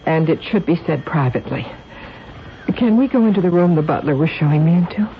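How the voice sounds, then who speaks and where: A woman's voice: low voice, expressive, fairly quick. An actress playing an earnest, conscience-stricken woman doctor, speaking seriously and urgently in a radio drama. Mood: urgent, insistent. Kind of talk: 1970s radio drama acting. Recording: dull, hissy old radio recording.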